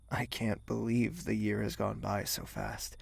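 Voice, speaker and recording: A man's voice: fairly low pitch, creaky, husky, no room sound, full character voice. A man playing a tender, wistful young lover in an audio drama, speaking hesitantly and earnestly.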